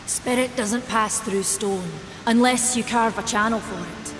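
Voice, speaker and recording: An actress playing a wise, solemn maker in a video game, measured and earnest with a Scottish accent, in a long reverb. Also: female voice, on the high side, music in the background, close to an everyday voice. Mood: instructive. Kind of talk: dramatic video game cutscene acting.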